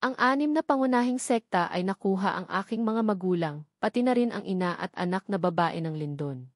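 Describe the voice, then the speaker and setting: A woman's voice: medium pitch, expressive, fairly quick, dry recording, lightly acted. Female narrator as young male sect leader in an audiobook, solemn, terse, commanding, dry sound.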